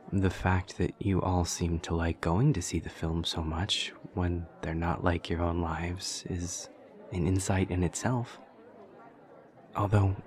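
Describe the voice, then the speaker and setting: A man playing an awkward, literal-minded demon college student in an audio roleplay, speaking in a halting, formal, earnest way. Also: low voice, a little mellow. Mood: earnest, thoughtful. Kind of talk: roleplay audio drama acting.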